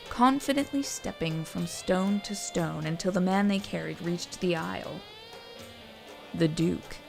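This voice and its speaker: A woman's voice: lowish voice, creaky. A woman narrating a scripted story in a wry, dramatic way.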